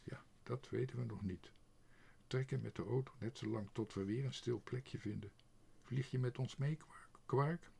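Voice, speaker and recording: A man playing a gentle, earnest young girl in a storybook, explaining hesitantly and apologetically. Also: mid-range voice, normal speed, creaky, slightly rough, pitched above the performer's own voice, exaggerated voice. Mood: hasty, apologetic.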